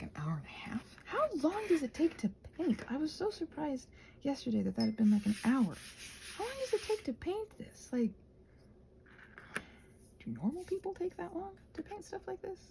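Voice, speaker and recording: A soft-spoken woman in a casual, rambling chat while she crafts, meandering and interrupting herself as she murmurs along. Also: high-pitched, creaky, a little hiss or hum. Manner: meandering, digressive, self-interrupting. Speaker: craft vlogger and audio creator.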